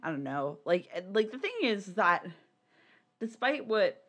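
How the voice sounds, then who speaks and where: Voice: medium pitch, big pitch swings, unhurried, fairly bright tone. A podcast co-host chatting casually and swearing freely about a hobby. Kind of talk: casual podcast chat about visual novels.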